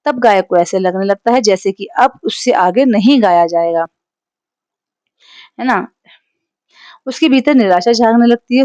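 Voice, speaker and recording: A woman's voice: medium pitch, darkish tone, no echo. A woman with a Hindi accent teaching a class, explaining a poem line by line in a patient, explanatory way and checking in with her listeners often. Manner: explanatory, frequent "theek hai" check-ins.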